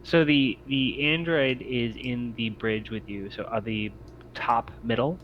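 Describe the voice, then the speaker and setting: Brief: male game master, mid pitch, polite and explanatory, muffled lo-fi mic with faint noise. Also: steady tone, unhurried. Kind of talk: improvised game narration and table talk. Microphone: online voice chat mic.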